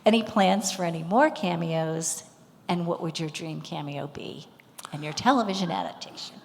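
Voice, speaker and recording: Female onstage interviewer with marked vocal fry and slightly low pitch, recorded in a roomy hall. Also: normal speed. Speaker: interviewer, librarian. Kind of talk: onstage question and answer. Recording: reverberant hall with background noise.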